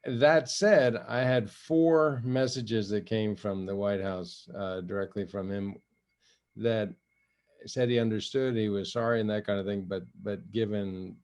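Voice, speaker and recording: Older African-born man, Swahili first language, lowish voice, measured interview guest with many restarts. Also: fairly even intonation, a little mellow. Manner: measured storytelling with frequent restarts and light laughs. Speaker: guest, retired general and envoy. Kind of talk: interview conversation.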